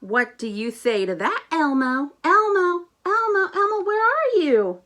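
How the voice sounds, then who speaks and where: A woman's voice: very high voice, sing-song, measured pace, bright, forceful tone, voice pushed up, full character voice. A woman voicing an anxious, exasperated male furry monster from a children's storybook, theatrical and pleading. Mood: insistent, panicked. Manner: exasperated, emphatic, theatrical delivery.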